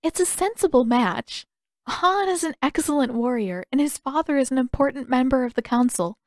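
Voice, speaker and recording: Woman reading as a polite resigned young noble lady, careful formal wording, highish voice, dry sound. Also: lively intonation, no room sound. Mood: dutiful, restrained. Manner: formal, measured, careful phrasing.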